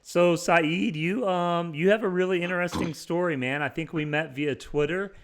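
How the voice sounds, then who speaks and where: A man's voice: fairly high pitch, moderate pace, clean tone. A man hosting an interview show in a casual, upbeat way, laughing often and padding his talk with fillers. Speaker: podcast host.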